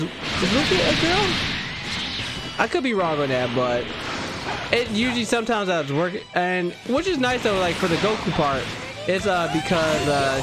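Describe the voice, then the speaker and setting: A young man streaming a video game through a headset at home, reacting with loose, slangy excitement. He says himself that his voice is fairly high. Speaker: gaming streamer. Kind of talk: casual gameplay commentary. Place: home gaming setup, indoors. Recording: game music playing behind. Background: game music and sound effects.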